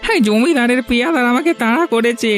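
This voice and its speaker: A man's voice: very high-pitched, fast, fairly bright tone. A man voicing a hunted but grateful good-hearted village bandit, urgent and dramatic, in a moral-story cartoon. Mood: urgent pleading. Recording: music behind the voice.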